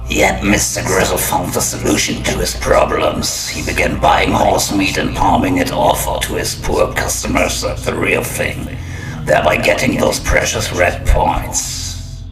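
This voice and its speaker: A man playing a ghoulish, mocking crypt-keeper who narrates a horror audio drama in a deep, gravelly, slow voice. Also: flat delivery. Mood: sardonic storytelling. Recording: roomy, distant voice under music.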